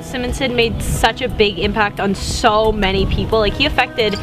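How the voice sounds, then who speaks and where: A woman's voice: mid-range voice, fairly even intonation, normal speed, music in the background. A teenage girl speaking sincerely in an interview about a teacher's impact. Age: teens. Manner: sincere, earnest. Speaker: student.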